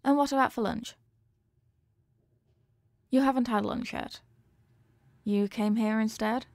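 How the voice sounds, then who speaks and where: A woman acts out an earnest young female scientist in an audio roleplay, fond of the test subject she addresses. Her voice sits a little high, and she words everything formally and precisely, like a clinician. The sound is very dry, with no room on it. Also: steady tone, a little slow, a little mellow, dry recording. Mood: caring. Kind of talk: scripted roleplay addressed to the listener.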